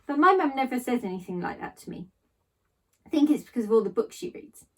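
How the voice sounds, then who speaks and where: A woman teacher reading aloud to pupils in an expressive, storytelling manner. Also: a little high, fast talker, far from the mic. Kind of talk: expressive children's story narration.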